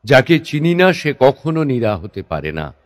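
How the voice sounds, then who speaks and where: Wry stage performer, swooping intonation. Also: male voice, medium pitch, very animated, measured pace. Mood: wry, irritated. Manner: theatrical, questioning, wry. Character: male stage performer, teasing, proud, somewhat prickly. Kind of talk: Bengali audio-drama dialogue acting. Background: instrumental background music.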